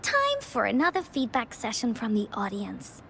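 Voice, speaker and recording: Game role: little storyteller girl. High, animated, cheerful, earnest, some background rumble. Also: normal speed, creaky, heavily acted. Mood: happy, earnest. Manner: dramatic storybook narrating, exclaiming. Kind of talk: video game voice acting, storytelling.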